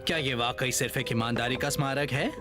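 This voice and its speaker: A man's voice: mid-range voice, a little fast, natural voice. A man voicing a smug, scheming magician villain in a cartoon dub, with theatrical, dramatic emphasis. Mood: smug, mysterious. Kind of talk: dubbed cartoon acting. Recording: loud music behind the voice.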